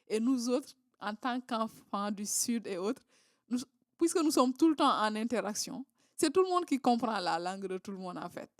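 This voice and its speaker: A woman's voice: mid-range voice, dry recording, studio quality. A woman from southern Senegal, a Creole speaker, talking in a casual interview with many fillers and restarts. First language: Creole, Diola and Wolof. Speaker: guest.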